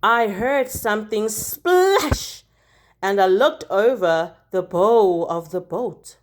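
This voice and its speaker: A woman reading a children's picture book aloud like a teacher, expressively, with deliberate dramatic emphasis on key words. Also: mid-range voice, animated.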